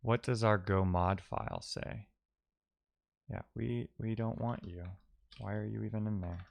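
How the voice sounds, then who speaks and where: Low-pitched, unhurried man thinking aloud. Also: steady tone, vocal fry, a little hiss or hum. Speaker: live-coding streamer. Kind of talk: casual thinking-aloud programming commentary.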